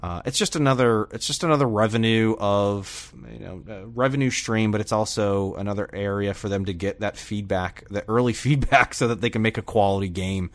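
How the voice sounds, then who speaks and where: A man chatting casually as a podcast panellist, thinking aloud in a halting way. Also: fairly low pitch, dry recording. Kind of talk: casual podcast chat about video games.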